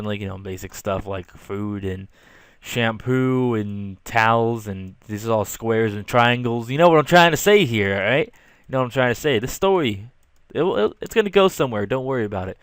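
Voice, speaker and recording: A man's voice: mid-range voice, fairly bright tone, clear, resonant tone, no room sound. A young man telling a casual, slangy story with exasperated asides. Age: young adult. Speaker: storytelling video creator. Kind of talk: casual storytelling over rough drawings.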